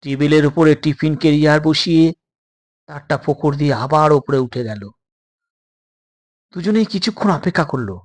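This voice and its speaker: A storyteller narrating a suspense tale in a dramatic, deliberate manner. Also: mid-range voice, a little mellow.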